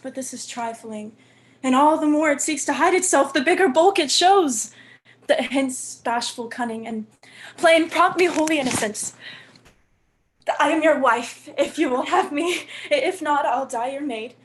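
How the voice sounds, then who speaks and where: A woman's voice: sits high, measured pace. A woman playing an innocent, modest but forthright young maiden in love, in earnest, tender Shakespearean stage acting. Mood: earnest, vulnerable.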